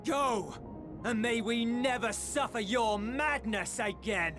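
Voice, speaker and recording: Stern bitter soldier, slow and kinda high, music under it. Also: male voice. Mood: commanding. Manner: measured, restrained anger. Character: stern, embittered male soldier.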